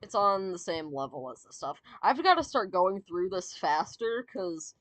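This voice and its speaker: A woman giggling and rambling as she ranks songs, her voice slightly raspy and sore from talking. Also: lowish voice, big pitch swings, normal speed, fairly bright tone, no echo. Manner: giggly, rambling, many fillers and restarts. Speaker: VTuber streamer. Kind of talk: casual fan commentary, ranking songs.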